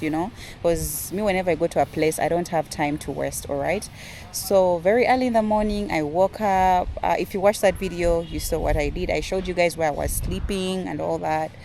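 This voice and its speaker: A young Ugandan woman with a Ugandan accent, chatting excitedly to her viewers in a casual outdoor vlog from a garden. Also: on the low side, moderate pace, a little hiss or hum. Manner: excitable, chatty, addresses viewers as "you guys". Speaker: vlogger. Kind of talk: casual vlog talk to camera.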